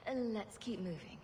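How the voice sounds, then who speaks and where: A woman's voice: medium pitch, darkish tone, slight background noise. An actress voicing a wisecracking woman champion in a video game, with a dry, flippant, sarcastic manner.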